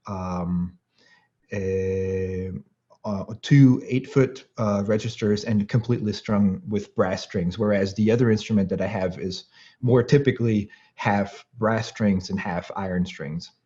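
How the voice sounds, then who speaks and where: A man in his late thirties, born and raised in Austria, talks through his answers in an interview with a mellow, warm tone. He keeps pausing on "uh" and "um" and restarting his sentences, and laughs lightly now and then. The recording sounds somewhat dull and lo-fi. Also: lowish voice. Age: late 30s. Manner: thoughtful, many fillers and restarts, laughs often. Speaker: guest harpsichordist. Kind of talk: interview conversation.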